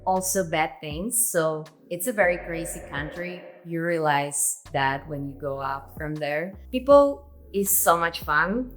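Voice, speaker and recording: A woman's voice: low voice, a little slow, few pauses, soft background music. A woman in her twenties from Venezuela, speaking English with a Venezuelan Spanish accent in a casual, chatty solo Q&A vlog. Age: 20s. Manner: chatty, laughs often, non-native grammar. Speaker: vlogger.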